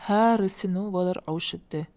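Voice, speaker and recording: A woman plays a patient grandmother in an animated family cartoon. Her voice sits at a medium pitch, and she talks in a kindly, measured, instructive manner. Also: lightly acted. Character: kindly, patient grandmother who teaches children. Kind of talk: cartoon dialogue in a dub.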